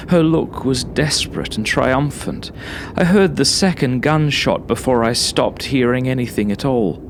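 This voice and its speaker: A man reading a first-person horror story as a narrator, in a measured, dramatic manner. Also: mid-range voice, background noise.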